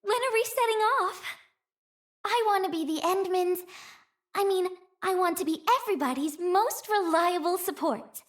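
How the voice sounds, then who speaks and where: A woman voicing a cheerful, romantic young female courier in a video game, with a playful, airy and sweetly expressive manner. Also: very high voice, moderate pace. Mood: eager, sincere. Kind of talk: game character voice lines.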